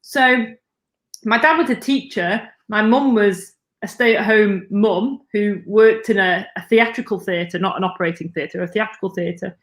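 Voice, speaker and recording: A woman's voice: mid-range voice, unhurried. A woman in her forties from England with a British accent, a nurse talking chattily as the guest in an interview. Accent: British English. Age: 40s. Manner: chatty, laughs easily. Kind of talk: casual interview.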